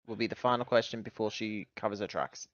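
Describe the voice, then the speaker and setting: A man chatting casually as a player in a tabletop roleplaying game, thinking aloud in a halting way. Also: lowish voice, dry recording, muffled recording.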